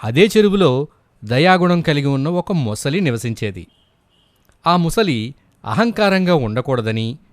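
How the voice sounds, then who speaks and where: A man's voice: mid-range voice, dry recording, clean studio sound. A man narrating scripted moral stories for children as a storyteller.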